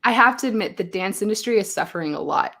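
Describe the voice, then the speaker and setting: A chatty woman from Belgium, speaking at a medium pitch. She tells stories in a lively way and slips into quoted asides as a guest on a live show. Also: fast talker, gravelly, breathy edge. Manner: chatty, animated storytelling with acted-out quotes. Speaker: guest, choreographer. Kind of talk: casual interview chat. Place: home, live stream.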